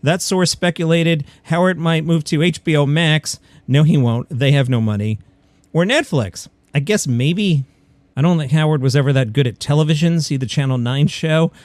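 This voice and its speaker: A man's voice: on the high side, normal speed, a little mellow, no room sound. A man hosting a comedy news podcast, reading stories and adding wry, opinionated asides. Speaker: podcast host. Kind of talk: comedy news commentary, partly scripted.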